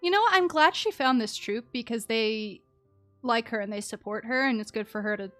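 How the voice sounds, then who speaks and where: A woman's voice: on the high side, moderate pace, fairly bright tone, dry recording, soft background music. A woman giving casual, chatty live commentary as she streams a game, reacting to the story.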